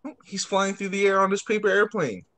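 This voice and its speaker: A man teacher reading picture books aloud to young children on a video call, expressive, drawing out words and adding child-friendly asides. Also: sits high, brisk. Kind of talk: animated read-aloud story time for young children.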